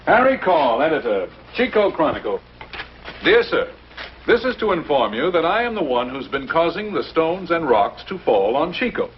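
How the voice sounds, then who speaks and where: A man's voice: on the high side, very animated, normal speed. A man playing a scoffing big-city newspaperman in an old 1950s television drama, sarcastic and mocking. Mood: mock theatrical. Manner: sarcastic, mocking, theatrical when reading aloud. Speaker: actor in a TV drama. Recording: dull, noisy old TV soundtrack.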